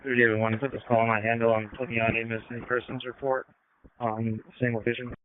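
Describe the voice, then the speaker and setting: Male officer, even pitch, hurried delivery, dry tinny radio link. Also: slight background noise. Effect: two-way radio. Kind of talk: terse radio chatter. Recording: narrow-band police scanner radio, dry.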